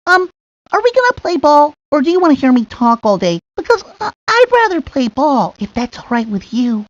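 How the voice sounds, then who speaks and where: Casting: shy young boy in a kids' game, high swooping voice, unsure and halting; dry lo-fi audio. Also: sing-song, no echo. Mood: nervous, hesitant. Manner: hesitant, halting, full of ums. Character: shy, nervous young boy ballplayer, self-doubting and eager to be left alone. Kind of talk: video game character monologue.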